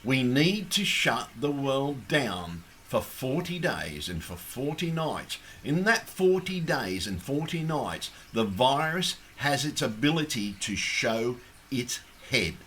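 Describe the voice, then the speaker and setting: Hoarse Australian man ranting bluntly. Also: medium pitch, big pitch swings, takes it slowly, fairly bright tone, a little hiss or hum. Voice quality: croaky. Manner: blunt, ranting, heavy swearing. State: hoarse, losing his voice. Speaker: activist. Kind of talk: impassioned direct-to-camera appeal.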